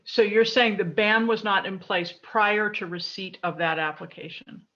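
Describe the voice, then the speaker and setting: A man questioning the board in an online meeting, halting and correcting himself as he goes. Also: sits high, fairly even intonation, bright, forceful tone, dull audio. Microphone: video call.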